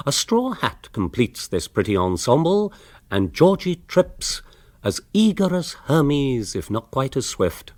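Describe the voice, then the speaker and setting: An actor playing an urbane English author, narrating a radio comedy in a literary, drily amused manner. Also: male voice, mid-range voice, big pitch swings, measured pace, darkish tone, dry recording. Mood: wry, relishing.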